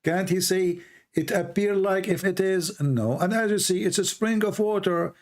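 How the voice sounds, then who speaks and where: Arabic-accented guy hosting a heated debate, combative, keeps repeating himself, kinda high voice. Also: moderate pace. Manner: combative, insistent, repeats words for emphasis. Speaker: debate host.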